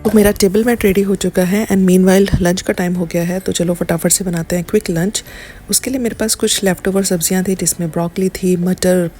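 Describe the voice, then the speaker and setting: Woman, soft-toned and fairly low voice, chatty vlog narration, English words mixed in, music underneath. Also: steady tone, brisk. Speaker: home and lifestyle vlogger. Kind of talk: casual vlog voice-over. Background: background music.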